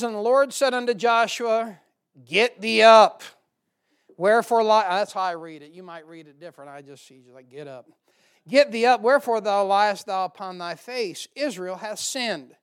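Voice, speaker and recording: Male preacher, American accent, high clear voice, middle-aged, folksy, informal sermon delivery with scripture reading. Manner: informal, folksy asides to the congregation. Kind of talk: church sermon with Bible reading.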